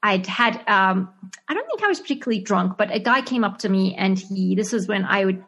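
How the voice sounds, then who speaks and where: A woman's voice: medium pitch, lively intonation, fairly quick, a bit bright. A woman with a Zimbabwean accent, an actress and writer answering interview questions casually, with lots of fillers, restarts and laughter. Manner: hesitant, many fillers and restarts, laughs often. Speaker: actress and screenwriter being interviewed.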